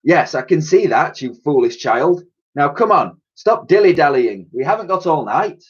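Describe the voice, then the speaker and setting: Man putting on a bossy, high-pitched old professor. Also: very animated, normal speed, husky, dull audio. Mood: impatient scolding. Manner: scolding, urgent, commanding. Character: impatient, bossy old male professor, quick to rage.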